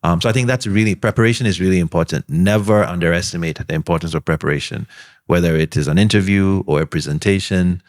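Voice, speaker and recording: A man answering questions on a panel, giving earnest, measured advice with frequent pauses and ums. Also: low voice. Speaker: alumnus panelist.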